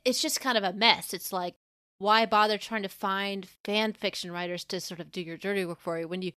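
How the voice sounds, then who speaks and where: A woman podcast co-host with a clear, smooth voice of medium pitch talks casually. She rambles informally as she thinks aloud, and the sound is very dry. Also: fairly even intonation, fairly quick, sharp tone, dry recording.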